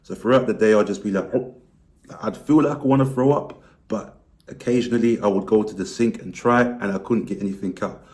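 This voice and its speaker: A man talks straight to his viewers in a frank, explaining tone. His voice is hoarse and strained from a lost voice. It sits a little low in pitch. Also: a little fast. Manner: candid, explanatory, lively pitch rises. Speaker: vlogger. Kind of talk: casual talk to camera.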